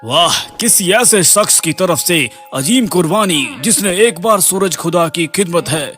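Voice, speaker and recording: A man voicing a haughty, mocking god of the underworld in an animated fairy tale, imperious and theatrical. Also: high voice, measured pace, faint music behind. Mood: bargaining.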